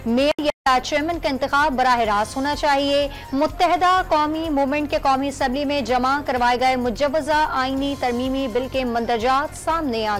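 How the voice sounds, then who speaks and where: A woman with a Pakistani Urdu accent reading scripted TV news headlines in a brisk, formal manner. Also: high voice. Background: news music bed.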